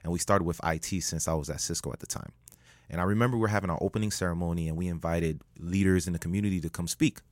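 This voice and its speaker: A man telling a story as a podcast guest, animated, jumping up in pitch when he jokes. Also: racing, no echo. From United States. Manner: animated, rises sharply in pitch when joking. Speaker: guest, public speaker.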